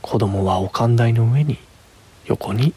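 Male storyteller, husky tone, mid-range pitch, reading scripted narration. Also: slow, dark tone.

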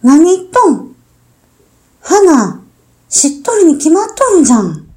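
A woman's voice: sits high, big pitch swings, a little slow, darkish tone. A woman playing an earnest, desperate young woman in a radio drama, with a western Japanese Chugoku dialect. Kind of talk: amateur radio drama acting. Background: music.

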